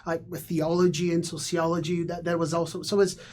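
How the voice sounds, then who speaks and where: Man, Italian-born, halting thoughtful speech. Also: on the high side, little pitch movement, a little mellow, slight background noise. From Brazil. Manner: thoughtful, many repeated words and fillers. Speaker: guest academic. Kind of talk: casual conversation.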